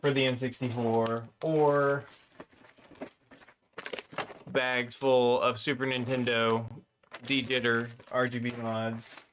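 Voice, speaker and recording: A man's voice: medium pitch, little pitch movement, slow, long pauses, fairly bright tone, a little hiss or hum. A man streaming a hands-on console mod at his workbench, chatting casually and rambling with lots of fillers while he gets over a slight cough from a cold. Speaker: electronics modder streaming a console mod install. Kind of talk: casual live-stream talk while working.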